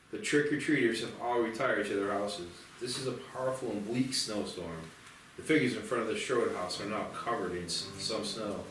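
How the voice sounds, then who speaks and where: A man reading screenplay stage directions in a deliberate, dramatic narration. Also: medium pitch. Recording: very echoey, distant mic, music behind.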